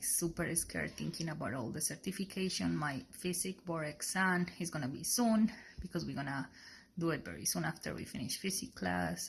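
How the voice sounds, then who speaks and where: A young woman from Colombia with a Colombian Spanish accent, a sonography student telling her story haltingly, with many fillers and restarts. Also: lowish voice, gravelly, slight background noise, poor audio. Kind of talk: casual personal testimony.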